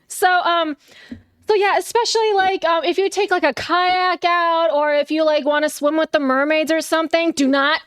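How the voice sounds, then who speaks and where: A woman's voice: very high-pitched, steady tone, measured pace, no room sound, studio quality. A young adult woman narrating a tabletop game as game master, chatty and upbeat with lots of fillers.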